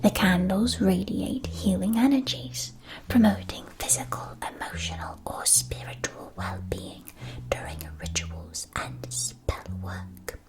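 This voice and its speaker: Slow, whispering woman in ASMR roleplay. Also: mid-range voice, poor audio. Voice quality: soft breathy whisper. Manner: slow, deliberate, carefully enunciated. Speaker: ASMR roleplayer as a witch school supply shopkeeper.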